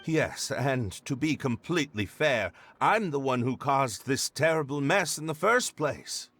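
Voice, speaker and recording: A man playing an earnest, apologetic, bumbling young gentleman in an anime video game, speaking formally and fussily with nervous hesitation. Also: medium pitch, lively intonation, unhurried. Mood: apologetic, explaining. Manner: formal wording, fussy, nervous, throat-clearing. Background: game music.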